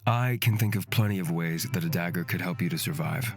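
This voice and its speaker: An actor playing a smug, mocking rogue with knives in a fantasy visual novel, haughty and teasing. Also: male voice, sits low, brisk, over music. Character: smug, mocking knife-carrying rogue man.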